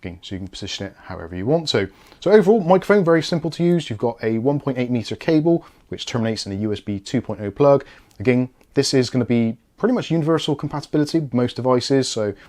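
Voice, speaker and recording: A man's voice: medium pitch, animated, fast, a little mellow. A British man giving a casual product review and unboxing, explaining things in a chatty, easygoing way.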